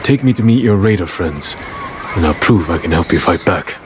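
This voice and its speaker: A man acting as a stoic, determined samurai in a video game, earnest and proud, speaking in a grave, measured, formal way. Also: deep voice, expressive. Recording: clear ambient noise behind the voice.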